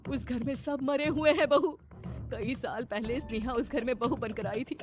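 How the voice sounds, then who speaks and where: A woman's voice: high voice, normal speed, music in the background, voice pushed up, lightly acted. A woman voicing an older mother-in-law, worried and grave, speaking with dramatic emphasis in a horror story. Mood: grave warning.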